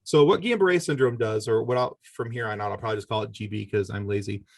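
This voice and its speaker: A middle-aged man with a fairly deep voice tells his story on a podcast. He speaks conversationally, pausing and rewording as he goes. The sound is dry, with very little room in it. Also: creaky, darkish tone, dry recording. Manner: halting, conversational, self-correcting delivery. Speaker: podcast guest. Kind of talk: personal storytelling in a podcast interview.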